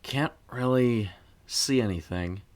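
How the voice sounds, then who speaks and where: A gentle, low-key brother in an audio story, acted by a male narrator. He talks plainly and softly consoles, with an understated curiosity in an everyday male voice. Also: slow pace, lightly acted. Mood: curious.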